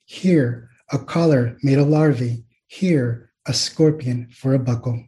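A man reading poetry aloud as a poet, in a slow literary delivery. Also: medium pitch, mellow.

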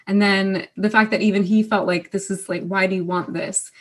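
A young woman on a panel telling a personal story hesitantly, with many fillers and pauses. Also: mid-range voice, fairly even intonation.

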